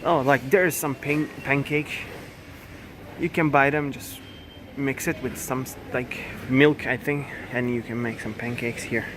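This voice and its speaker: A young man in his twenties with a Turkish accent, filming a casual vlog on his phone as he walks through a supermarket, talking haltingly with many fillers and self-corrections. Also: medium pitch, fairly even intonation, noisy background. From Turkey. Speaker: vlogger. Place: large supermarket indoors. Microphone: handheld phone camera. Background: store ambience.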